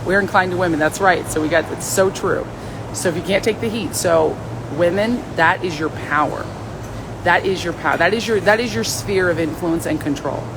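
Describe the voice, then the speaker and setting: A woman with a raspy voice hosting a live stream, chatting casually and reading viewer comments in a blunt, outspoken, playful way. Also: fairly low pitch, normal speed, background noise.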